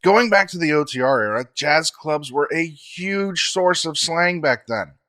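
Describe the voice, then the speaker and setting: A man co-hosting a podcast, explaining facts in a measured, scripted way. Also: fairly high pitch, animated, pushed and bright.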